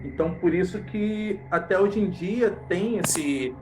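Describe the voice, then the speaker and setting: A man's voice: sits high, unhurried, over music, low-quality recording. A man with a Brazilian Portuguese accent co-hosting a casual live-stream chat, joking and rambling in a slangy way. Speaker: podcast co-host.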